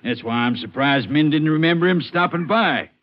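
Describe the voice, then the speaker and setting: An actor playing a folksy, shifty farmer with a rural Texan drawl in a 1950s radio western, eager to sound helpful. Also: male voice, fairly high pitch, animated, normal speed, close to an everyday voice. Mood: defensive, uneasy. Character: folksy, shifty married farmer, slick-talking countryman. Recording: muffled, noisy 1950s radio recording.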